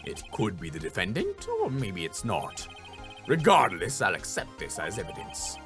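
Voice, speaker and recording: A man's voice: deep voice, wildly swooping pitch, moderate pace, over music, voice pushed down, exaggerated voice. A man voicing an old male judge who speaks in a formal, ponderous way. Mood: puzzled.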